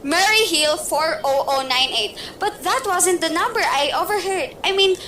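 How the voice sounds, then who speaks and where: Radio-play role: a fretful, demanding bedridden lady, read by a woman, high and shrill. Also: lively intonation, normal speed, sharp tone, slight background noise, heavily acted. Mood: frantic insistence. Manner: shrill, impatient, fretful pleading. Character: nervous bedridden wealthy woman, demanding and frantic. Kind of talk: amateur radio play acting.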